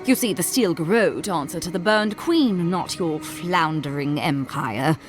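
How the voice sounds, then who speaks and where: Smug, low-voiced zealot played by a woman. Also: faint music behind, lightly acted. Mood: cold, righteous. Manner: measured, formal, condescending. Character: smug zealous woman inquisitor's agent, courteously menacing. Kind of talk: scripted fantasy game dialogue.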